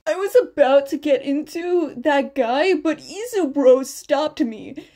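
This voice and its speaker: A woman's voice: high-pitched, animated, slow pace, mellow. A woman voicing a sheepish, eager teenage schoolboy who earnestly recounts what happened, in an audio fan fiction reading.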